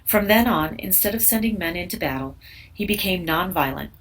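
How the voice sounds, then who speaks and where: A woman's voice: on the low side, lively intonation, fairly quick, fairly bright tone. A woman narrating a scripted educational read-aloud for children. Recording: distant mic with background noise.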